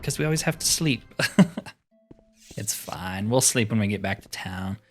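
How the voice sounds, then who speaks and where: A man's voice: fairly low pitch, lively intonation, normal speed, soft background music. A man giving casual, informal commentary on a game playthrough, musing aloud to viewers with mild exclamations. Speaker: gaming channel host.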